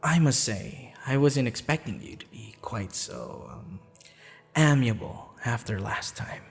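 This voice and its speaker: A man playing a courteous, flirtatious assassin in an intimate ASMR roleplay, smitten and slightly sheepish, speaking softly and formally with hesitant pauses. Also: medium pitch, animated, creaky. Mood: warm, apologetic. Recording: long reverb, music behind.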